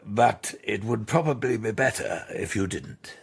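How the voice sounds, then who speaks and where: A man playing an older, suave, scheming aristocrat in a radio drama, husky, urbane and insinuating. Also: lowish voice, unhurried, full character voice. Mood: insinuating, amused.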